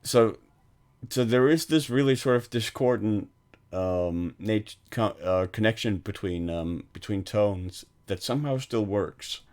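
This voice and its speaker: A man's voice: on the low side, measured pace, darkish tone. A man co-hosting a film podcast, retelling movie scenes in a rambling, casual way with many restarts and laughs. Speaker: podcast co-host.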